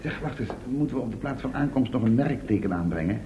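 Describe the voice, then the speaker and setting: A man's voice: mid-range voice, animated, fast, lightly acted. An actor playing a pedantic, precise companion in a Dutch radio play, formal and condescending as he corrects others. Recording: dull old radio recording, faint noise.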